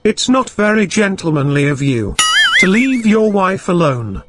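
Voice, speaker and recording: A man's voice: high-pitched, sing-song, a little slow, loud music behind the voice. A man playing a probing, persuasive stranger, a magician in disguise, in a storybook tale, with birdsong and noise behind. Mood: prying. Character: smooth-talking, prying male stranger, a disguised magician. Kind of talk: storybook character acting.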